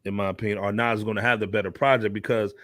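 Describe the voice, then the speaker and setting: A man's voice: fairly low pitch, fairly even intonation, rapid-fire, a bit bright, no echo. An American man hosting a casual hip hop discussion, speaking in slang with emphatic repetition. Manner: slangy, emphatic, repeats himself. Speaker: podcast host.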